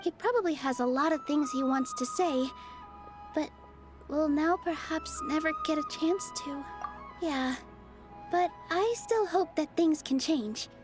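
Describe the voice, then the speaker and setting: A woman voicing a gentle, earnest young theater dancer in a video game, speaking softly and sincerely. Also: high voice, unhurried. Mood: wistful, hopeful. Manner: soft, sincere, wistful storytelling. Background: game music.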